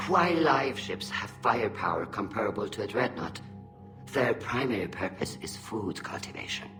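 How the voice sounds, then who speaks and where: Proud, low-voiced alien admiral, echoey. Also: male voice, animated, normal speed, sharp tone, faint music behind. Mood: resolute, defensive. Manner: formal, declamatory. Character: proud, defiant male alien war admiral. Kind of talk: video game dialogue acting.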